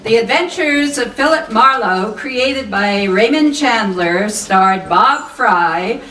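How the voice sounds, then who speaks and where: A woman's voice: on the high side, fairly bright tone, slight background noise. A woman announcer reading scripted radio credits in an old-time 1940s broadcast manner. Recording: echoey room, slightly distant microphone. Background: faint music.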